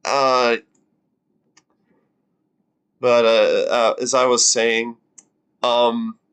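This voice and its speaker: Slow-talking young man, smooth voice. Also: medium pitch, little pitch movement, a bit bright. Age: 20s. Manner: rambling, filler-heavy, casual swearing. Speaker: streamer. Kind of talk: live stream reaction chat.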